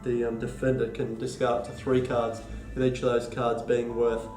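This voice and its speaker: An Australian man with a cold and a stuffy nose, explaining board game rules in a halting, casual way full of ums and restarts. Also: mid-range voice, completely flat delivery, reverberant, over music, low-quality recording. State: congested from a cold. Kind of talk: casual tabletop rules walkthrough.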